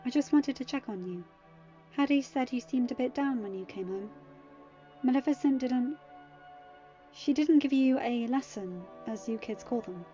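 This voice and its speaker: A soft-spoken, caring mother as a woman reader plays her, gentle in manner. The audiobook dialogue sits over music, in slightly dull sound. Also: sits high, expressive, moderate pace, pitched above the performer's own voice. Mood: gently concerned.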